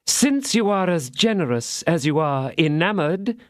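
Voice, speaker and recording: A man voicing a scheming, vindictive noblewoman who speaks in a measured, coaxing way. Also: a little high, big pitch swings, dry recording, studio quality, pitched above the performer's own voice.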